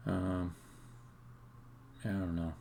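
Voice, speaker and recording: Male game developer streamer, deep and heavily creaky, casual muttered think-aloud, hesitant phrasing. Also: flat delivery, dark tone, a little hiss or hum. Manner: halting, muttering to himself while working. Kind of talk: casual think-aloud live-coding commentary.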